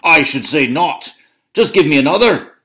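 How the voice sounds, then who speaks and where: A man doing a loud bullying giant. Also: on the high side, sing-song, a little slow, voice pushed up, full character voice. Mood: outraged bellowing. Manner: bellowing, blustering. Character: huge bullying male giant, loud glutton.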